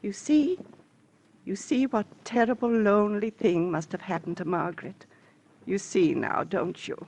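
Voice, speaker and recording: A woman's voice: mid-range voice, unhurried, darkish tone. An actress in an old radio drama playing a distraught, insistent middle-aged woman, pleading with theatrical intensity. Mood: distraught, intense. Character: distraught, insistent fifty-two-year-old woman grieving her missing sister. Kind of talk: 1940s-50s radio drama acting. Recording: vintage radio recording with faint hiss.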